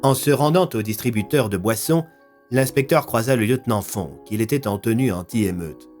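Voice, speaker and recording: A man's voice: mid-range voice, moderate pace, darkish tone, soft background music. A man narrating a scripted audio story as its storyteller.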